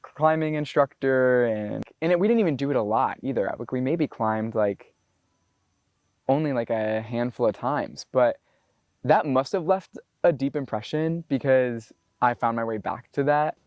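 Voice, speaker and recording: A young American man in his twenties speaks at an ordinary middle pitch, his voice dry and close with no room around it. He talks in a loose, casual way, dotting his thoughts with "like" as he reflects. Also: normal speed, no room sound, highs cut off. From United States. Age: 20s. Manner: casual, loose, full of "like" fillers. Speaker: botanist and climber being profiled. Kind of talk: reflective documentary interview.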